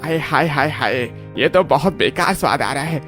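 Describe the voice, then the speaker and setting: A man's voice: fairly high pitch, animated, moderate pace, a bit bright, faint music behind. A man voicing a disgusted elder brother-in-law in a Hindi cartoon story, complaining with exaggerated wails.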